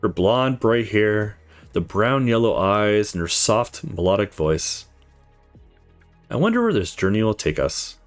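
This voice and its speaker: A man's voice: fairly low pitch, very animated, measured pace, soft background music. A man reading aloud as a brooding, introspective young visual novel protagonist, reflective and earnest. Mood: reflective, tender.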